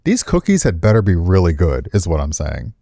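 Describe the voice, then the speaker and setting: Male game streamer, low and dry-miked, casual and playful with a wry, teasing edge. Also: wildly swooping pitch, brisk, vocal fry, warm tone, dry recording. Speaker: streamer playing and reading aloud a visual novel. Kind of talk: casual playful commentary mixed with reading game text aloud.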